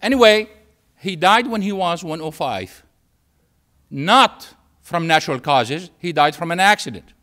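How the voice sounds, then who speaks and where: A man from Syria with a Syrian Arabic accent and Arabic as his first language, giving a public lecture in an emphatic way with repetition and pauses for effect. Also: on the high side, animated, sharp tone. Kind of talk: public lecture with anecdotes and humour.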